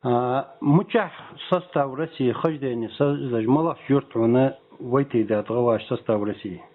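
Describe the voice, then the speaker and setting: A man's voice: medium pitch, unhurried. A man speaking natively, talking informally and argumentatively as a phone caller asking questions. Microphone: telephone. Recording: tinny phone line, music behind. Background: music.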